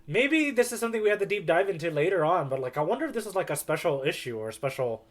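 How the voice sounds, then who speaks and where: A man's voice: high-pitched, very animated, fast, fairly bright tone. A man co-hosting a podcast, chatting casually in a rambling, enthusiastic way. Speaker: podcast co-host. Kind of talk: casual chat.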